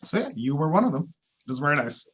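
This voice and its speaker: A man's voice: fairly high pitch, very animated, fast talker, a bit bright, breathy edge. A man from Pakistan, a research scientist, answering interview questions in a hesitant way with many uhs and restarts.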